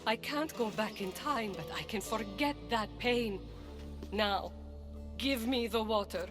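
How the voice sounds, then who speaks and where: An actress playing a solemn, heartbroken woman in a video game, speaking formally and with measured care. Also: fairly high pitch, lightly acted. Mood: sorrowful, resolute. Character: solemn heartbroken woman mourning her lost soulmate. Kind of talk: dramatic video game acting. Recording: music behind the voice.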